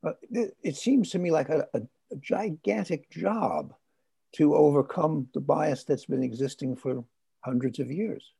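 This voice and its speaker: A man's voice: on the high side, measured pace, vocal fry, mellow, breathy edge. A man hosting a podcast, asking questions and affirming the guest with short interjections. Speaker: podcast host. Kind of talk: conversational interview.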